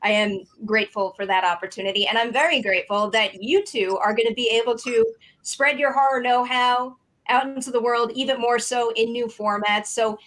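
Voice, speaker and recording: A woman's voice: fairly high pitch, fairly bright tone, rough recording. A woman speaking as an interviewer, effusive and thankful in conversation.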